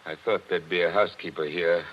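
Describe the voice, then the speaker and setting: An actor playing a tense, haunted attorney who talks in an urgent, brooding way, in 1940s radio acting. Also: male voice, low-pitched, steady tone, fairly quick, a little hiss or hum, close to an everyday voice. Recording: dull old radio broadcast.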